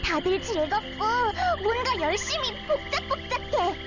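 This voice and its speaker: A woman voicing an innocent, energetic, childlike little girl in a Korean game story, full of exclamations. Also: very high voice, unhurried, heavily acted. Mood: wide-eyed wonder. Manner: childlike, exclamatory, speaks of herself by name. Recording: dull sound under loud music.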